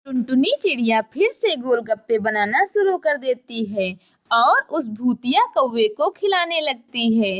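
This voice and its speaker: A woman narrates a children's cartoon story from a script. Her voice sits a little high as she takes the role of storyteller. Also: sing-song, a little slow, fairly bright tone.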